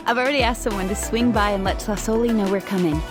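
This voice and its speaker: A woman voicing a bright, helpful, energetic young villager in a video game, eager and expressive. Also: on the high side, moderate pace, music in the background, natural voice. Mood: cheerful. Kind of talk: English video game voice acting.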